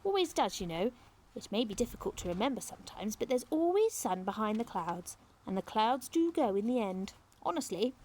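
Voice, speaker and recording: A woman's voice: fairly high pitch, sing-song, a little fast, a little mellow, poor audio. A woman voicing a chatty, kindly and wistful old English lady in clipped, homely phrases for a children's storybook. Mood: warm, reassuring.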